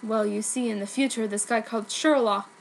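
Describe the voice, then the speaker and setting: A woman's voice: on the high side, steady tone, brisk, lightly acted. A petty, scheming man voiced by a woman pleads his case in a wheedling, explanatory manner. It is from a comic story reading with noticeable noise on the recording.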